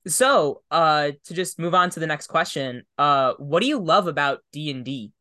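A man's voice: a little high, normal speed, pushed and bright. A man hosting a podcast interview, talking casually in a thoughtful, reflective way. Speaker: podcast host.

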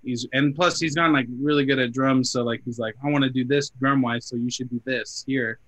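A man's voice: mid-range voice, fairly even intonation, a little fast, fairly bright tone, low-quality recording. A man in his twenties, a band member chatting casually on a podcast, full of "like" fillers and retold quotes. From Phoenix area, US. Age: 20s.

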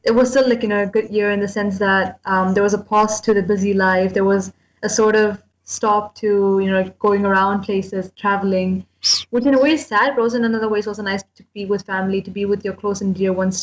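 A woman's voice: medium pitch, level tone, a little fast. Young woman from austria chatting on a radio panel, kinda dull call audio. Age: young adult. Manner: chatty, uses like and you know. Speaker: student guest. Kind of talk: casual panel discussion.

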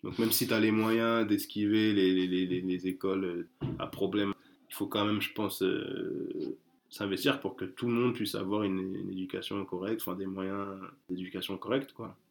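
A man's voice: low-pitched, steady tone, moderate pace, creaky. A man chatting casually as an interview guest, hesitant, with many fillers and restarts. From France.

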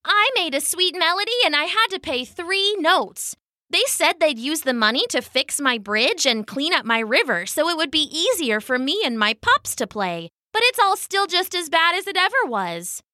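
A friendly storybook mother otter , acted out by a woman, chirpy and musical. The voice is very high and bright, gushing in a singsong way. It is recorded close and dry. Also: very animated, moderate pace, no echo, full character voice. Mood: chirpy, hopeful. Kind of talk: children's storybook character voice.

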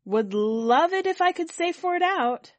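A woman plays an anxious, stubborn story character, pitched high. The words come out as blurted, emphatic protests, recorded dry and close. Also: very animated, a little slow, dry recording, exaggerated voice. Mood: upset, pleading.

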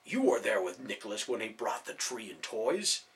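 A man voicing a desperate father and watchman who yells in anger and pleads urgently. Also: fairly high pitch, animated, normal speed. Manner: yelling, urgent.

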